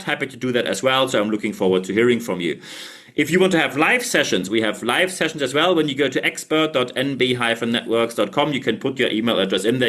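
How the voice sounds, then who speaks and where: A man's voice: mid-range voice, fairly bright tone. A man hosting a solo leadership podcast, not a native English speaker, talking emphatically and repeating his thanks and calls to action. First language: German. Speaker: podcast host.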